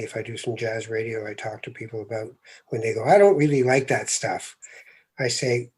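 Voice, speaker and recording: A man hosting a podcast interview, speaking reflectively and growing animated as he makes his points, with easy laughs. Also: medium pitch, breathy edge. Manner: thoughtful, animated when making a point, laughs easily. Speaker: podcast host.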